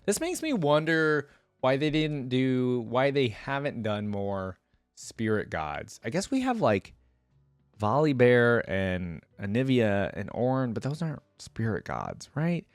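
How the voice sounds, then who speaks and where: A man's voice: mid-range voice, big pitch swings, slow, clean tone, dry recording, studio quality. A man hosting a reaction video, reading aloud and musing in casual conversational asides.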